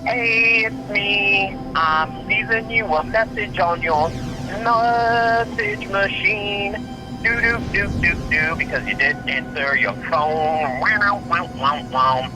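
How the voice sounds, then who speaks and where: A playful, affectionate pal in a fiction podcast. His voice runs a little high and he rambles in a goofy way, with clear music underneath. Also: slow pace, rough recording.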